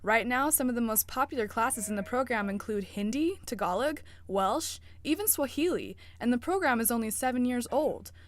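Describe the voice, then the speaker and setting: A woman's voice: on the high side, animated, normal speed, hardly any pauses. A young adult woman reporting a student news story in a scripted, upbeat newsreader delivery.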